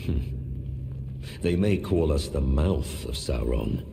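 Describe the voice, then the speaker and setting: A man playing a menacing, lordly herald of a dark lord in a video game, gravelly, solemn and imperious in archaic speech. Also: a bass voice, lively intonation, slow pace, noisy background, full character voice. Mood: cold, commanding. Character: menacing, lordly male dark-lord's herald, coldly commanding. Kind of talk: video game villain acting. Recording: echoey hall reverb with music behind.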